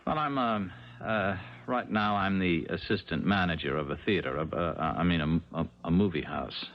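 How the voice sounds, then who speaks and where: A low voiced young ex-con, uneasy, plain talker, hesitates, muffled old radio drama. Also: male voice, moderate pace. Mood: nervous, deferential. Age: young adult. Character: uneasy young ex-convict drifter posing as fiancé. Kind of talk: 1970s radio drama acting. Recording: dull 1970s radio recording.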